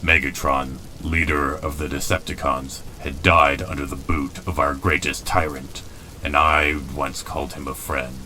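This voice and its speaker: An actor playing a weary, stoic robot commander, solemn and commanding, in a dramatic comic dub. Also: male voice, extremely low pitch, flat delivery, a little slow, noisy background. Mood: somber, weary. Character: weary, stoic male robot commander, grave and self-doubting.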